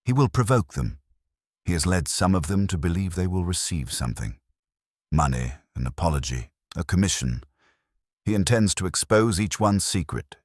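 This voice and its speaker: A man voicing an anxious, well-bred wife in a detective story, husky, earnest and polite. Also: low voice, very animated, normal speed, no echo, clean studio sound.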